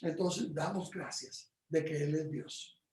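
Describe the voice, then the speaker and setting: A man teaching his home group about praise in a casual but animated way, jumping into loud exclamations and stumbling over fillers. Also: fairly high pitch, slow pace, roomy sound. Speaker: Bible teacher.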